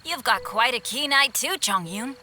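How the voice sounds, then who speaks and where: A man's voice: very high voice, animated, normal speed, sharp tone, a little hiss or hum, exaggerated voice. A voice actor playing a refined, gallant young nobleman in a video game, with formal, courtly phrasing.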